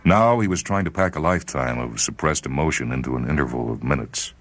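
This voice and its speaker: A man reading a literary short story as dramatic scripted narration, with grave, deliberate phrasing. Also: rumbling low, fairly bright tone. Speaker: short story reader on a radio series.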